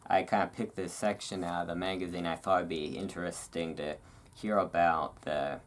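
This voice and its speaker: A host reading aloud in a relaxed ASMR style, with casual, musing asides. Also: deep voice, normal speed. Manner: rambling, musing asides between read passages.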